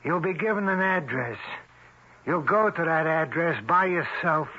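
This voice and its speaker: A muffled old radio broadcast carries a bossy American gangster. His voice is hard-edged and rough, and he talks in streetwise slang with open menace. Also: fairly high pitch, animated, a little slow, bright, forceful tone, heavily acted. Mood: businesslike, wry. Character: menacing Italian-American mobster, male fugitive, slangy and bossy. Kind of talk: old-time radio drama acting.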